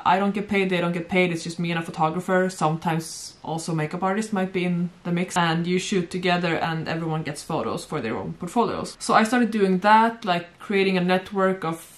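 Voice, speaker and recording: A young woman from Sweden chatting casually at home about her past modeling work, with frequent giggles. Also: on the low side. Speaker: former model, guest. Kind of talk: casual storytelling chat. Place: bright home room, seated on sofa.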